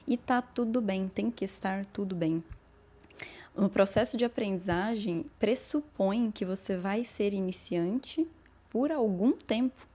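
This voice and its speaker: Woman in her 30s, podcast language teacher, mellow and warm, encouraging. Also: mid-range voice. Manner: encouraging, direct, repeats phrases for emphasis. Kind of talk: podcast lesson, addressing the listener.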